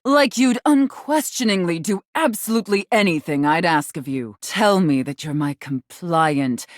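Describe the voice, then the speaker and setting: A woman's voice: sits low, no room sound, studio quality. A woman voicing a haughty, domineering mistress character in a video game, imperious and scornful, savoring key words. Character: haughty, domineering female safe with a dominatrix persona.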